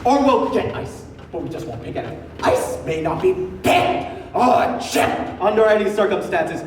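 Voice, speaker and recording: A distant, echoey and noisy stage recording of a young man playing a pompous, paranoid revolutionary in an absurd comedy. He declaims theatrically, swinging widely in pitch and flaring into outbursts. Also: sing-song, a little slow. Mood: agitated. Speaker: actor. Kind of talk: amateur one-act stage comedy acting. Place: indoor stage, recorded from far off.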